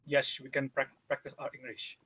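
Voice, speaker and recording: A man whose first language is Vietnamese chats casually on a podcast, his voice husky and a little breathy. He tells stories in an animated, rambling way at a middle pitch. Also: moderate pace. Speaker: podcast co-host. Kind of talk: casual chat.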